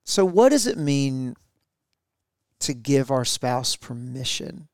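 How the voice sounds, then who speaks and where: A man's voice: medium pitch, animated, slow pace, darkish tone, no echo, clean studio sound. A middle-aged man co-hosting a podcast, talking reflectively with his wife, with many fillers and restarts. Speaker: podcast co-host. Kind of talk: casual conversation with spouse.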